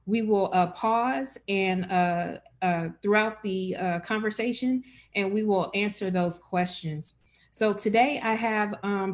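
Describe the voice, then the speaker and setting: A woman hosting a live-streamed information session as enrollment director, friendly and chatty with many fillers. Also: mid-range voice.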